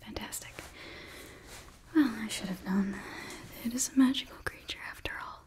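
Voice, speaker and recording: A young woman playing an earnest, flustered witch librarian in a whispered, breathy ASMR roleplay, speaking haltingly close to the microphone. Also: on the high side, natural voice. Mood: apologetic, earnest. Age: young adult. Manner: hushed, halting, fussy. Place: small indoor set, close to microphone.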